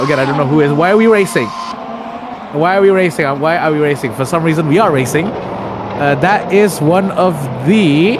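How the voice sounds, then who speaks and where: A man's voice: a little high, background noise. A man from Indonesia doing live sim racing commentary from his home, rambling and correcting himself with many fillers. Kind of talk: live remote race commentary.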